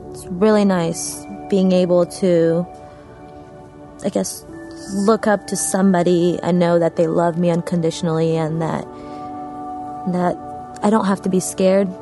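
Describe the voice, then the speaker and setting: A woman from Afghanistan giving a reflective personal testimony about coming to faith, with a soft, hesitant way of speaking. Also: on the low side. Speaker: church member giving testimony. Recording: music playing behind the voice.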